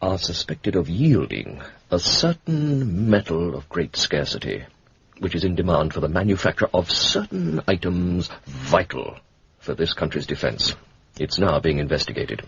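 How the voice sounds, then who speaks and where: An actor with an English accent playing an older, brisk, pompous and secretive senior government official in a radio drama. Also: male voice, low voice, expressive, creaky, husky, muffled recording, full character voice. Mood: grave, confidential. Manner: clipped, self-important, harrumphing. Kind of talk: British radio drama acting.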